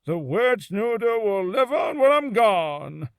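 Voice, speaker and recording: A man voicing a proud, sentimental old shopkeeper father from a video game, homely and unhurried. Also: sits high, big pitch swings, creaky, no room sound, studio quality, heavily acted. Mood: proud, fond. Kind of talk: video game character voice acting.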